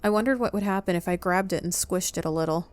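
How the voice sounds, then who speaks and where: Lowish-voiced woman reading a game aloud. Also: quick pace, smooth, no room sound. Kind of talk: dramatic read-aloud of a visual novel playthrough.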